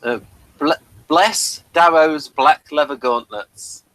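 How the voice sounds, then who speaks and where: A man's voice: on the high side, slow pace, fairly bright tone, no echo, poor audio. A man co-hosting a casual podcast chat about a TV episode, now and then reading out lines from a page. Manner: chatty, reads out bits from a page. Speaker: podcast co-host.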